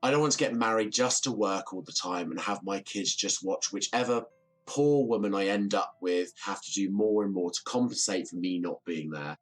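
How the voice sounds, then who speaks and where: Reserved man with a low, husky voice, acted. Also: normal speed, soft background music, natural voice. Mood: downbeat, wistful. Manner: diffident, wistful. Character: lonely, reserved bachelor neighbour, self-deprecating, hard-working. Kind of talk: audio drama acting.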